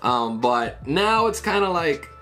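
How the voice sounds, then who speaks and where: A man's voice: a little high, big pitch swings, slow, a bit bright, faint music behind. A man in his thirties talking through a comic chapter in a loose, casual way, with many fillers and "right?" tags, recorded indoors at home on a webcam setup. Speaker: manga reviewer. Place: small room at home, indoors.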